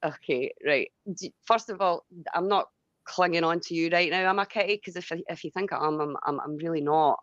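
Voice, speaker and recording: Scottish woman ranting casually, sweary and rambly, lowish voice, dull lo-fi dry audio. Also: fairly quick, fairly bright tone, no echo. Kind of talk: casual heated venting chat.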